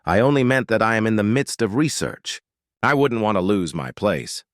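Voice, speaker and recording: Reclusive storybook baron, British, male narrator, bookish and resigned, lowish, quietly wry delivery. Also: big pitch swings, moderate pace, no room sound, clean studio sound. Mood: softened.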